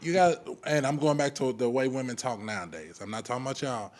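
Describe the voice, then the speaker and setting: A man's voice: medium pitch, quick pace, a little mellow. An American man arguing his points casually on a podcast, blunt and slangy. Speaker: podcast panelist. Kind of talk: casual debate.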